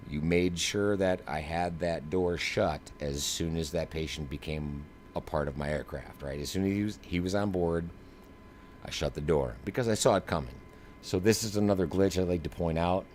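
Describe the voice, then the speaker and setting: A man's voice: low-pitched, darkish tone. A man giving live flight simulator demo commentary in a casual, slangy, emphatic way, like a streamer. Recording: clear background noise.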